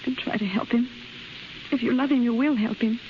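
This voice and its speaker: Woman acting an earnest, high-voiced pleading rival. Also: steady tone, brisk, natural voice. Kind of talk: 1930s radio drama acting. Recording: muffled, faintly hissy 1930s radio recording.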